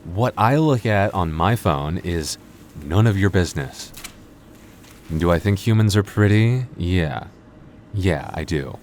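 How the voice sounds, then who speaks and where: A man's voice: low voice, animated, slow, darkish tone, slight background noise. A man playing a cocky, moody male vampire coworker in an intimate ASMR roleplay, teasing in a dry, flirty way. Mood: defensive, smug. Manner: smug, flirty, dry teasing with sighs and chuckles. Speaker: voice actor in audio roleplay.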